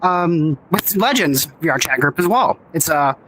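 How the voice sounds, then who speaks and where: Bright, excitable young man on a panel. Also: on the high side, slight background noise, rough recording. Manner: excitable, joking, quick asides. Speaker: writer and producer, panelist. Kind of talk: convention panel talk.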